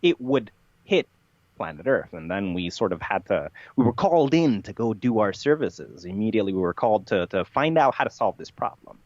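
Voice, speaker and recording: Male podcast guest, lowish voice, rambling and matter-of-fact with halting phrasing, slightly dull recording. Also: sing-song, a little mellow, dry recording. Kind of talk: rambling interview storytelling.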